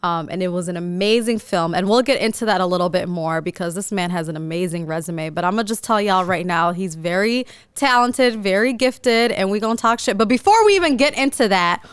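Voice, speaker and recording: An upbeat woman hosts a casual food podcast, her voice smooth and clear. She talks in a slangy, bubbly way and laughs easily. The sound is close and dry. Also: mid-range voice, fairly quick, hardly any pauses, no echo. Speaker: podcast host. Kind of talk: casual chat.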